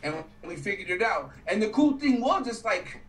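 A man's voice: high voice, moderate pace, distant mic, soft background music. Slangy man on a roomy video call. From New Mexico. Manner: slangy, animated, swears freely. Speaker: guest actor. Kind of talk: casual video call interview. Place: home studio room.

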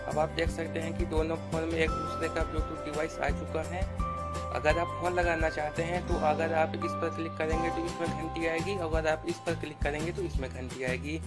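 A man's voice: a little high, flat delivery, moderate pace. A man giving a step-by-step tutorial voice-over. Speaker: tutorial presenter. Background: loud music.

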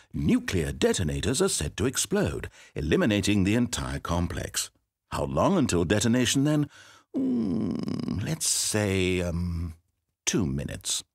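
An actor playing a smug, sadistic, all-knowing storyteller in a video game, speaking with theatrical, mock-courteous condescension. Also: male voice, on the low side, husky, heavily acted. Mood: condescending. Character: smug, sadistic, omniscient male storyteller, politely mocking. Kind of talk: theatrical scripted game narration.

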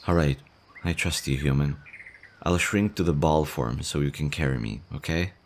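A man's voice: a bass voice, normal speed. A man voicing a nervous slime boy. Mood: hopeful. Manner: soft, hesitant, chatty. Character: nervous gentle male slime monster, young. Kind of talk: audio roleplay, ASMR.

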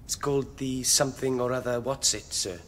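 A man acting a cheeky young male convict in a radio play, ingratiating and slangy, with false humility. The voice rings in a long hall reverb, with a faint rumbling noise behind it. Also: fairly even intonation, brisk, a bit bright, lightly acted. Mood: eager, probing. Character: sly young male prisoner feigning piety, cheeky and ingratiating.

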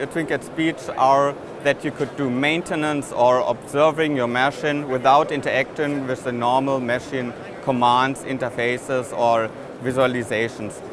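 A man in his thirties explaining a product in an interview at a busy exhibition hall, with some fillers. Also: mid-range voice. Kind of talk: trade show interview. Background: hall noise.

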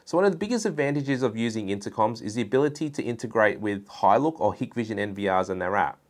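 A man in his forties with a fairly low voice presents a scripted product explainer. He speaks informally and instructively, as a presenter for a tech business would in an online video. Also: animated, fast. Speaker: presenter for a networking and security business. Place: indoor studio room at a desk.

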